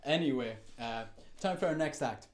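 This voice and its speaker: A man's voice: medium pitch, normal speed, a little hiss or hum. A man co-hosting a show, presenting scripted links. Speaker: showcase co-host.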